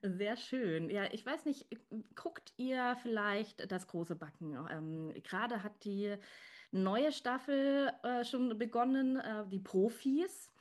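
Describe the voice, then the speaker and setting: A woman's voice: fairly high pitch, slow pace. A woman hosting a casual podcast interview, chatty, with many fillers and restarts, and slightly congested from a cold. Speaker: podcast host.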